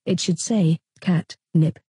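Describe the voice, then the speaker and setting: A synthetic computer voice as a snarky, bossy chatbot sidekick, deadpan and scolding. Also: mid-range voice, slow, husky, dry recording.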